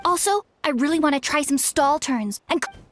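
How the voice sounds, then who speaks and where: A woman's voice: high-pitched, normal speed, natural voice. A woman playing an earnest, eager young female trainee flyer in a video game. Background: background music.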